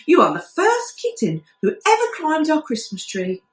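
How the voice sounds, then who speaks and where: A woman's voice: high voice, wildly swooping pitch, normal speed, far from the mic, pitched above the performer's own voice. A woman voicing a kindly but bossy little female fairy doll in a storybook, earnest and instructing. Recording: echoey room.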